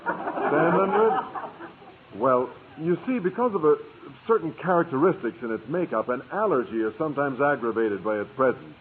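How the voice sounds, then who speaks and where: A man's voice: a little high, animated, normal speed, natural voice. An actor playing a bashful, literal-minded man with a hesitant, pedantic manner in a 1950s radio sitcom. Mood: flustered. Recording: noisy, tinny old radio recording.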